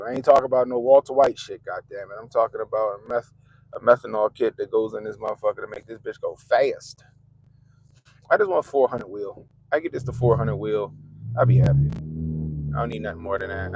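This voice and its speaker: A man's voice: on the low side, darkish tone, poor audio. Congested man on a profane rant. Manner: loose, profane, laughing rant. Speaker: vlogger host. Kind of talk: casual profane commentary.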